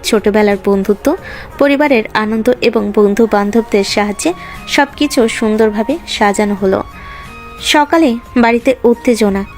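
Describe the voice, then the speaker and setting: A woman reading scripted narration for a romantic story. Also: a little high.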